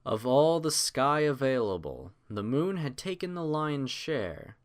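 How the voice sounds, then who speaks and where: A man narrating a scripted audiobook in a theatrical, measured storytelling manner. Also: medium pitch, big pitch swings.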